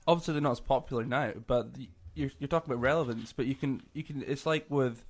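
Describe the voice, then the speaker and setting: A man speaking as a panelist in an informal discussion, haltingly, repeating words as he goes. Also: medium pitch. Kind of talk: casual discussion.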